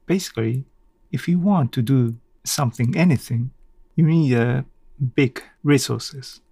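Solo podcast host: male, non-native English, unhurried and halting, thinking out loud, very dry recording. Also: mid-range voice, gravelly, breathy edge, no room sound. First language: not English. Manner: halting, thinking aloud in short phrases. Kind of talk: unscripted solo reflective podcast talk.

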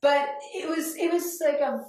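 Interview guest: woman, 40s, Colombian accent, reflective, slightly high voice, heavy room echo. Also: normal speed, a little mellow. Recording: very echoey room.

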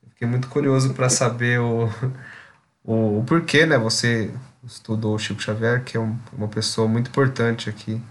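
A man hosting a podcast, reading sponsor plugs and shout-outs and then interviewing a guest in a friendly way. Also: mid-range voice, steady tone, normal speed. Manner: friendly, upbeat promo reading. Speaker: podcast host.

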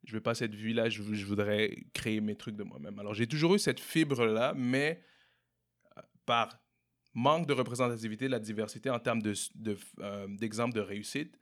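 A young man from Montreal with a Québécois French accent, answering interview questions in a relaxed way, often repeating and restarting words. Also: lowish voice, moderate pace. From Montreal, Quebec. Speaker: entrepreneur guest. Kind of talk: casual interview conversation.

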